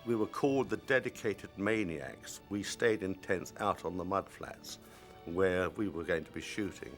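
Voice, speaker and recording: A man from a film crew recalling the production in a measured interview. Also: low voice, soft background music. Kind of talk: reminiscing interview.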